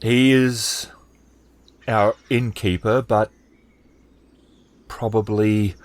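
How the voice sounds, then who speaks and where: A man's voice: on the low side, steady tone, very slow. Man playing a hesitant, humble peasant farmer. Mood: wary, deferential. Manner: hesitant, deferential. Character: weary middle-aged peasant farmer, humble and wary.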